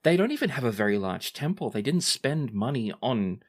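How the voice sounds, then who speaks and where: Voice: mid-range voice, very animated, brisk. A game master improvising and narrating in a tabletop role-playing game.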